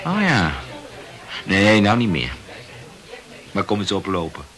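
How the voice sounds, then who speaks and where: An actor in a radio play playing a chatty, self-absorbed young amateur writer who rambles and corrects himself insistently. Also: male voice, fairly low pitch, very animated, slow pace, fairly bright tone, heavily acted. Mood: insistent, self-conscious. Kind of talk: literary radio play dialogue.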